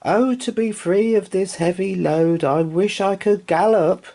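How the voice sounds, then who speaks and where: A man's voice: high voice, measured pace, vocal fry, dark tone, voice pushed up. A man voicing a wistful, eager toy rocking horse in a children's storybook, with an earnest singsong delivery. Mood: wistful longing.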